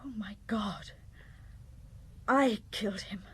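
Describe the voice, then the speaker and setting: An actress playing a fragile, guilt-ridden woman in a radio drama, hesitant, faltering and anguished, her voice breathy and husky. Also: medium pitch, slow pace, fairly bright tone, a little hiss or hum. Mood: anguished, hushed.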